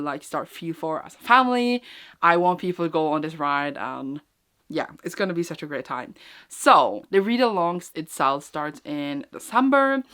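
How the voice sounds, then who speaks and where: A woman's voice: low voice, fairly bright tone. A young woman talking casually as a book vlogger, informal and gushing, rambling and correcting herself as she goes. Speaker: book vlogger and readalong host. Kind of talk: casual, chatty vlog talk.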